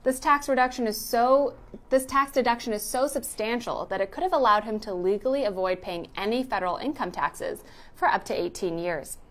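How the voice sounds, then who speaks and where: A woman's voice: on the high side, fairly quick. A woman reading scripted narration for a news explainer.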